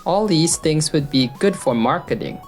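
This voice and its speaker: A woman's voice: lively intonation, moderate pace, darkish tone. A woman playing an earnest, loyal young office worker and narrator in a manga dub, speaking in a conversational way. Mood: eager pitching. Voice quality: low-pitched voice. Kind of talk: anime-style manga dub with first-person narration. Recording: background music under the voice. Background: music.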